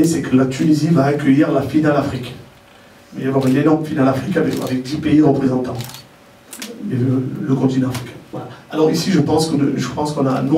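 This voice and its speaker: A man's voice: mid-range voice, dark tone. A man giving an informal spoken presentation as a contest president, hesitating and repeating words as he explains, recorded at a distance. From Algerian family origin. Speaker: president of a model contest, speaker at press presentation. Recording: roomy echo, distant mic, faint noise.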